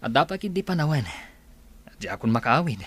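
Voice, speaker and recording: A man's voice: mid-range voice, big pitch swings, brisk, a bit bright, husky. An actor with an Ilocano accent playing a secretive, evasive young businessman, earnest and placating, in a radio drama. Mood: defensive.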